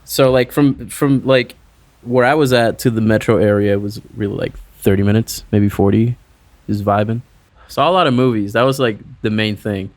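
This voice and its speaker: Young male musician from Puerto Rico, loose rambling interview talk, slightly low, dry. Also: moderate pace, darkish tone, dry recording. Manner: loose, rambling, heavy on "like" fillers. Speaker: musician guest. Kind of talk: casual interview chat.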